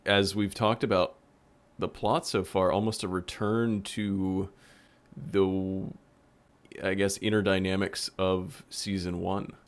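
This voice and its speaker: A man's voice: deep voice, little pitch movement, slow. A man hosting a casual roundtable conversation about a show he works on. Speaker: podcast host and show creator.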